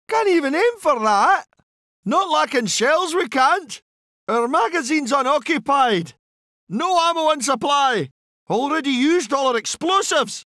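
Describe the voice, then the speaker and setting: A cheeky Scottish artillery gunner for a strategy war game, barking short orders back with a slow, deliberate delivery. The voice sits high and bright. It is recorded dry with no room sound. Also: male voice, no echo, exaggerated voice. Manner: clipped barked acknowledgements. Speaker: artillery crew unit voice. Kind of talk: video game unit responses.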